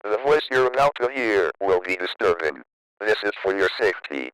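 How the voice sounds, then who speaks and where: A man reading a scripted emergency broadcast as an alert announcer, in a formal, measured way. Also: lowish voice, very animated, fairly bright tone, clear, resonant tone, no echo, poor audio.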